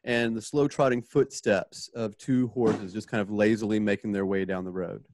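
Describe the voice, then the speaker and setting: A man's voice: lowish voice, steady tone, normal speed, darkish tone, no echo. A man narrating a radio play, casually describing the action and sound effects as he goes. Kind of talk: casual live narration of stage directions and sound cues. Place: home, remote video call.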